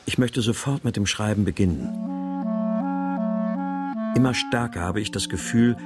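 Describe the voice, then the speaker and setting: An actor playing a melancholy husband and writer who narrates a radio crime drama in a restrained, introspective way. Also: male voice, lowish voice, normal speed, loud music behind the voice, close to an everyday voice. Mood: restrained, brooding. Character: melancholy husband and writer narrating his missing daughter's story.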